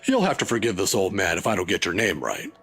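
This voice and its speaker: A man voicing a gentle, courteous old male professor in a read-aloud horror visual novel who speaks politely and softly. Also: low-pitched, wildly swooping pitch, fast talker, husky, pitched below the performer's own voice, full character voice. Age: older. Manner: polite, soft-spoken, chuckling lightly.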